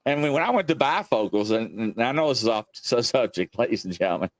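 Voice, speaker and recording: A man's voice: mid-range voice, animated, fast talker, no echo. A man in his late forties hosting a casual show, telling rambling, folksy stories emphatically and acting out quoted conversations. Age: late 40s. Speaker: show host. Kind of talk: casual chat with anecdotes and acted-out quotes.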